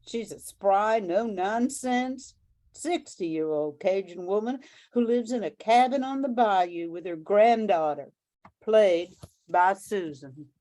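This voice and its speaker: Slow, mellow older woman reading. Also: mid-range voice. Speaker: amateur cast member. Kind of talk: scripted cast introduction.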